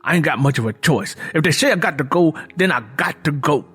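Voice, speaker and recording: Man performing a proud young farmer, hot-tempered, combative, folksy, high-pitched for a man, audiobook drama, music behind. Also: lively intonation, a little fast, a bit bright, breathy edge, heavily acted. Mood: defensive. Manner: combative, folksy rural speech.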